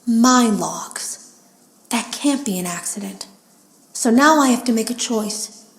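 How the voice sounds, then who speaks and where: An actress playing an uneasy female game tester, confiding in a measured, serious way in scripted audio logs for a horror game. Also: a little high, expressive, reverberant. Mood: grave, resolute.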